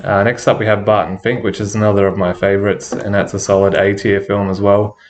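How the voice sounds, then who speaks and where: A man's voice: fairly low pitch, little pitch movement, brisk. A man doing casual film-ranking commentary, a little under the weather, rambling with many ums and restarts. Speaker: film reviewer. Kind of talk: casual tier-list commentary.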